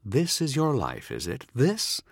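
A man's voice: mid-range voice, huge pitch swings, unhurried, vocal fry, no room sound, studio quality, pitched above the performer's own voice, heavily acted. A man voicing a charming, smug former comrade who keeps pressing and needling. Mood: insistent. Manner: needling, insistent. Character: smug, charming male old soldier comrade.

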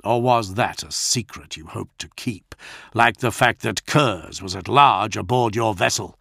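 A man voicing a weary male commander who reasons in a measured, firm manner. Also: on the low side, creaky, pushed and bright, no echo, studio quality, heavily acted.

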